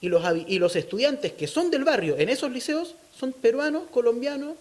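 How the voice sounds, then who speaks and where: A man with a Chilean accent and a notably high voice speaks as an interviewee. He lays out his opinions in an explanatory, thoughtful way, often ending a point with a short questioning tag. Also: animated, a little fast, darkish tone. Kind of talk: thoughtful interview talk on local culture.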